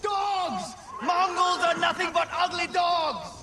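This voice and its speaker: An actor playing a defiant young man in a video game, yelling mocking taunts to bait enemies. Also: very high voice, slow, noisy background. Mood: defiant taunting. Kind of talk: dramatic video game acting.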